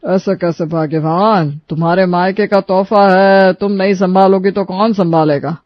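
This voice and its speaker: A man's voice: sits high, fairly even intonation, normal speed, no room sound, muffled recording, lightly acted. A man voicing a nagging, sarcastic elderly husband in a cartoon story, sounding exasperated. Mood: sarcastic scolding.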